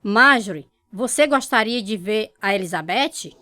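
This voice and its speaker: An actress playing a kindly, generous widowed mother-in-law in a radio drama, speaking gently. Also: medium pitch, expressive, a little slow, dry recording, clean studio sound, soft background music.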